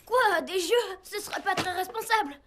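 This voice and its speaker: A woman voicing a cheeky, excitable schoolboy in an animated film, boisterous and sarcastic. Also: very high-pitched, moderate pace, slight background noise. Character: cheeky, excitable schoolboy who loves video games.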